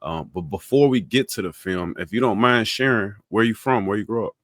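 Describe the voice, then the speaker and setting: A male podcast host with a lowish voice who keeps his questions brief and polite. His encouraging tone comes through a dry, close recording. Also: brisk, a bit bright, dry recording. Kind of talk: interview questions.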